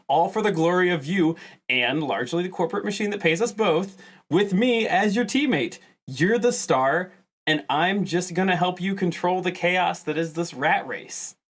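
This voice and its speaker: Male podcast panelist, slightly high voice, improvised comic pitch with glib corporate patter. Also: fairly bright tone.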